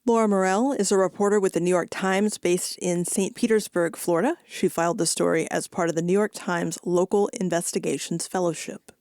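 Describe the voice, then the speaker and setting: A woman's voice: lowish voice, fairly even intonation, brisk, few pauses, a little mellow. A woman hosting public radio, presenting scripted links and putting questions to guests. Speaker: radio host.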